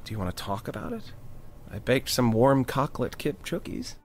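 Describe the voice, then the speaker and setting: A man's voice: mid-range voice, sing-song, normal speed, slight background noise, natural voice. A man playing an earnest, defensive slacker boyfriend with a speech impediment in a comic audio drama. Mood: concerned. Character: Charlie, whiny, defensive, needy slacker boyfriend.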